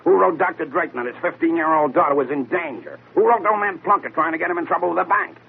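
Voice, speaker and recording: A man's voice: fairly high pitch, expressive, fast, creaky. A man playing a blunt, puzzled police sergeant with colloquial speech in a 1940s radio mystery. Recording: tinny, noisy old radio broadcast.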